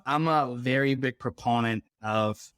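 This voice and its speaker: A man's voice: medium pitch, measured pace, fairly bright tone, smooth, dry recording, clean studio sound. A man from Ecuador explaining things conversationally as an interview guest, often checking in with the listener. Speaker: interview guest, refugee program worker.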